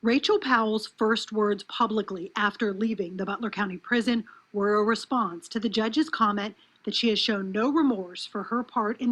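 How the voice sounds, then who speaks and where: A woman's voice: medium pitch, a bit bright, low-quality recording. A woman with an American accent narrating a scripted television news report.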